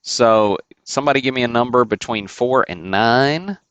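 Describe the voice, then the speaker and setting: A man's voice: mid-range voice, normal speed, fairly bright tone, smooth, dry recording. A man hosting a sports card break livestream, chatting casually and thinking aloud with fillers and restarts.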